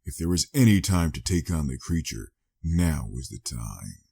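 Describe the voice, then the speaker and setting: A man's voice: a bass voice, very animated, moderate pace, gravelly, warm tone, breathy edge. A man reading a scripted story as a dramatic audiobook narrator.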